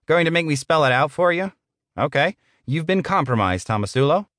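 A man's voice: fairly high pitch, expressive, brisk, fairly bright tone, no room sound, clean studio sound, pitched above the performer's own voice. A man voicing a short, sleazy middle-aged office boss in an audiobook, smug, patronizing and blustering.

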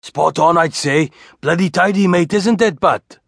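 A man's voice: on the high side, unhurried, vocal fry, fairly bright tone, dry recording, studio quality, pitched above the performer's own voice, exaggerated voice. A man voicing a cheeky, brash Welsh film-star lookalike with a broad Welsh accent and chummy, slangy talk.

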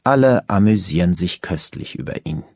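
A man reads scripted audiobook narration in a low, husky voice. The sound is dry, with no room around it. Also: lively intonation, moderate pace, darkish tone, no echo.

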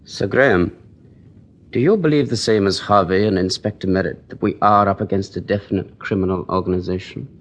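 Husky British police detective. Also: male voice, low voice, brisk. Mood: thoughtful. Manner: polite, eager, well-spoken. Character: eager, respectful male police detective. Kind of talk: 1940s British radio drama acting.

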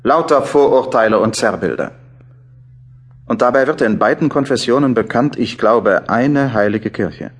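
A man's voice: mid-range voice, normal speed, slightly rough. A man playing a thoughtful, mildly doubtful journalist who muses and questions himself over his diary in a German radio play. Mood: reflective, sobered. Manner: musing, self-questioning.

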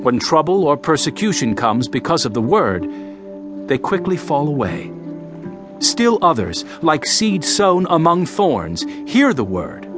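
Impassioned male preacher of parables for a scripture audio drama; declamatory, higher-pitched, music underneath. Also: big pitch swings. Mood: earnest, explaining. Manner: declamatory, emphatic, deliberate phrasing. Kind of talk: dramatized audio Bible acting.

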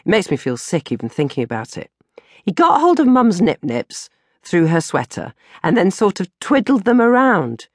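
A woman's voice: lowish voice, sing-song, moderate pace, breathy edge, no room sound, clean studio sound. A woman narrating a comic first-person diary as an audiobook, with a dramatic, exasperated delivery. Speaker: audiobook narrator reading her own novel.

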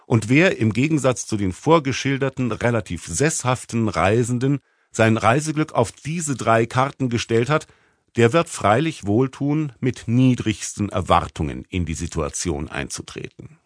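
Low-voiced man reading a literary audiobook. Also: no room sound, clean studio sound. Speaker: audiobook narrator. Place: dry recording studio.

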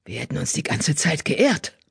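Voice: very animated, normal speed, vocal fry, slightly rough, heavily acted. A narrator voicing a fierce old female cat, a bitter former healer who accuses in a growl. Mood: accusing. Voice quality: low growl, hissing. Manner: menacing, accusatory, grim.

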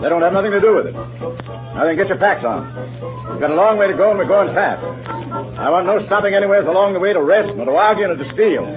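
High-pitched commanding army officer. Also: male voice, lively intonation, fairly quick. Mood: stern, commanding. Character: brisk, commanding Revolutionary War scout officer. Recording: muffled vintage radio broadcast, music behind.

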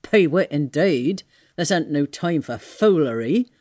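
A man's voice: fairly high pitch, lively intonation, measured pace, dark tone, no room sound, clean studio sound. A man voicing a scruffy, bewildered tramp in an audiobook, muttering and exclaiming in slang. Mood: alarmed, indignant. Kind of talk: audiobook character voice.

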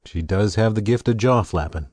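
A man playing a genial, wry gentleman who runs a country house, in an audio drama. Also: fairly low pitch, moderate pace, no echo, clean studio sound, natural voice. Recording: music behind the voice.

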